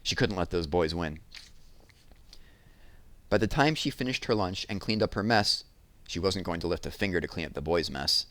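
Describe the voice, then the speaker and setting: A man's voice: deep voice, animated, moderate pace, pauses often, fairly bright tone, no echo. A man reading a children's novel aloud as a storytelling narrator.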